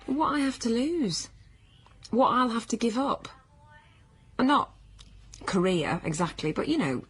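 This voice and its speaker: A woman's voice: a little high, lively intonation, measured pace, slightly rough, exaggerated voice. An actress playing a troubled, stubborn pregnant career woman, earnest and defensive, in naturalistic radio drama. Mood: bitter, sardonic.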